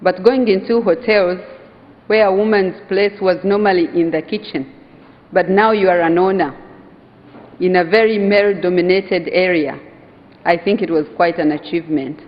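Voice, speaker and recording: A woman from Uganda with a Ugandan accent giving an earnest, emphatic conference speech, slowing down to stress key phrases. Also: on the low side, fairly even intonation. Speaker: conference speaker, hotel owners' association vice chair. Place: conference hall. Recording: dull sound in a reverberant hall.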